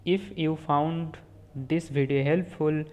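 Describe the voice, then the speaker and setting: A speaker giving a step-by-step software walkthrough as a voice-over, calmly talking through each click and sometimes correcting a word mid-sentence. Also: medium pitch, slow. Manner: steady step-by-step instructions with small self-corrections. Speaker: tutorial instructor. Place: voice-over recorded apart from the screen capture.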